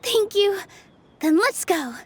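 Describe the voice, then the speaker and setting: A gentle young dancer. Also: female voice, extremely high pitch, animated, slow, a little hiss or hum, heavily acted. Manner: gentle, encouraging.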